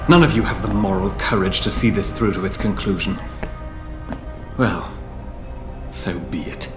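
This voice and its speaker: An actor playing an aging male tech visionary in a video game, grave and measured, breaking into anguished shouts. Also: fairly low pitch, close to an everyday voice. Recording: roomy game audio with music.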